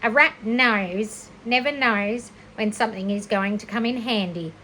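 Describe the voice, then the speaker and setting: A woman's voice: on the high side, unhurried, pushed and bright, slight background noise. A woman voicing a smug, boastful male barn rat from a children's storybook, with a slurry, drawling voice.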